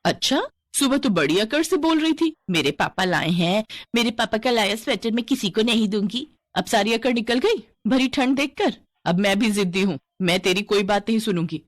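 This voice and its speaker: A woman's voice: medium pitch, expressive, normal speed, dry recording, studio quality, natural voice. A woman voicing a cruel village stepmother in a story, with a sneering, taunting manner. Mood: harsh, taunting.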